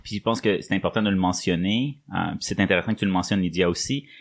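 A man's voice: low-pitched, fast talker. A man hosting a podcast in a conversational interviewing style. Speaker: podcast host.

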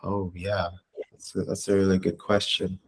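Low-voiced, halting young American man. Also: completely flat delivery, slightly rough. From Southern California, United States. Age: 20s. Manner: hesitant, halting, pausing often between short phrases. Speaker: interviewee, community advocate. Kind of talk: casual interview answers.

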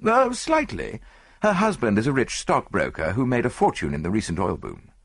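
A man voicing an eager, good-natured English gentleman companion with breezy, well-bred phrasing. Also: mid-range voice, big pitch swings, normal speed, creaky, breathy edge, heavily acted. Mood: bright, informative.

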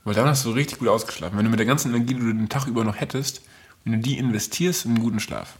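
A man's voice: medium pitch, rapid-fire. A man hosting a podcast in casual, comedic chat, riffing playfully in a rambling way. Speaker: podcast host.

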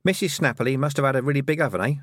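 A man voicing a shrewd, brisk witch woman who teaches, with a dry, knowing manner, in an audiobook. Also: mid-range voice, a bit bright, no echo, pitched above the performer's own voice, natural voice. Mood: sardonic. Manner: dry, knowing, clipped questions. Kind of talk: audiobook character dialogue.

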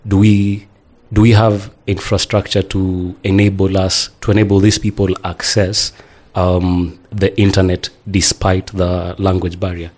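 A man hosts a podcast interview. His voice is very low, and his questions come calm and measured. He backs up his guest often with short words of agreement. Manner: affirming, calm questioner with many backchannels. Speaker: host.

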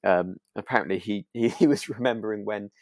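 A man's voice: lowish voice, brisk, no room sound. A man co-hosting a podcast in casual chat, enthusiastic and often repeating words as he finds his phrasing. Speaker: podcast co-host.

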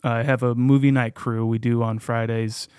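Male podcast guest, mid-pitched, informal and joking conversational delivery, dry recording. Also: little pitch movement, normal speed, darkish tone, clear, resonant tone, dry recording. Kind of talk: casual podcast chat about films.

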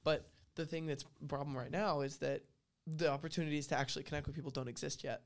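A man's voice: fairly high pitch, fairly even intonation, quick pace. A young man hosting a casual podcast chat, agreeable and laughing easily with his guest. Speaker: podcast host.

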